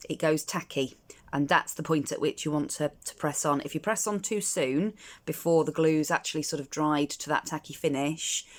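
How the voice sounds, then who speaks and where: Woman, middle-aged, croaky, low voice, hesitant chatty crafting tutorial, lots of ums. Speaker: crafter demonstrating card making.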